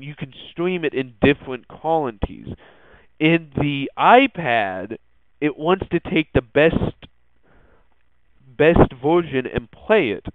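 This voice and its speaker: A man hosts a casual tech podcast at an unhurried, halting pace, often pausing on ums and restarting phrases. His voice is smooth and clear of rasp. The sound is dry, narrow and muffled, like a phone call. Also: mid-range voice, no echo. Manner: hesitant, halting, frequent ums and false starts. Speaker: podcast host.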